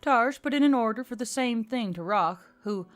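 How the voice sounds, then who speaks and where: A woman's voice: on the high side, expressive, moderate pace, soft background music. A woman reading scripted fiction as an audiobook narrator.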